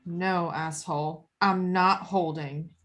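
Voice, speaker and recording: A woman's voice: lowish voice, steady tone, takes it slowly, a bit bright. A woman acting a brash, hostile, foul-mouthed tough woman at a script table read, combative and sarcastic. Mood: hostile, taunting.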